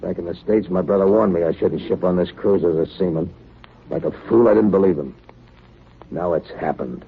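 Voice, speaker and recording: A bitter, deep-voiced newspaperman, old tinny radio. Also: fairly quick, creaky. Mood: bitter, trapped. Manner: resentful, complaining, dramatic. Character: bitter, aggrieved male newspaperman stuck on a polar expedition. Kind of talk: 1930s radio drama acting. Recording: muffled, tinny, noisy 1938 radio recording.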